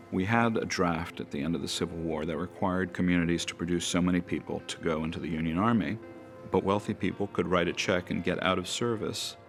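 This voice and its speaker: Creaky, low-voiced man telling history. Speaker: biographer, historian. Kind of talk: documentary interview, storytelling.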